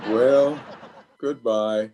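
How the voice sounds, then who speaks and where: A man's voice: mid-range voice, fairly even intonation, drawn-out words, dull audio, background noise. A man playing a flustered, blustering middle-aged bachelor in an old-time radio comedy, hemming and sheepish. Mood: sheepish, apologetic.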